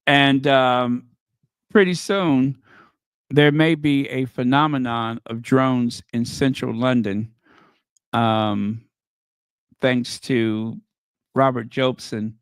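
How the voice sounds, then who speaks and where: Man, livestream host, hoarse, sarcastic, dry close sound, unhurried. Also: medium pitch, steady tone, no room sound. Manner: sarcastic, mocking asides. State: losing his voice. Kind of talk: casual livestream chat.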